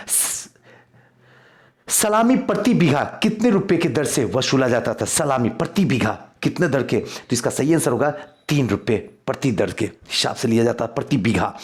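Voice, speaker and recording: A man teaching an exam quiz lesson, reading out questions and repeating key answers for emphasis. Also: medium pitch. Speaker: history teacher for civil service exam coaching.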